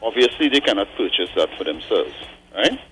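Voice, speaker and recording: A man in his forties calling in to a radio show, thinking aloud earnestly with frequent pauses and tag questions like "right?". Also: lowish voice, big pitch swings, bright, forceful tone, a little hiss or hum, rough recording. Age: 40s. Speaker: caller. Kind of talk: call-in radio commentary.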